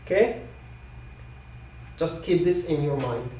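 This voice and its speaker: A man who is a non-native English speaker giving live technical training, repeating his points reassuringly and often checking with a quick okay. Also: fairly high pitch, expressive, mellow, slight background noise. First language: not English. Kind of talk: live online product training. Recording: echoey room, distant microphone.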